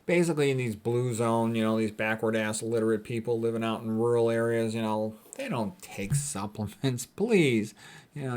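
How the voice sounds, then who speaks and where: A man's voice: mid-range voice, a little mellow. A man giving an informal lecture as a physician, commenting on quotes in a blunt, dismissive, colloquial way and repeating his points emphatically.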